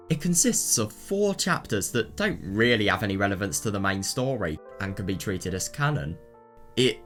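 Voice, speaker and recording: A man narrates an explainer in a fairly low voice that rises and falls in a lively way. He sounds informal and opinionated, recommending as he goes. Soft instrumental music plays behind him. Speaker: presenter of a reading guide.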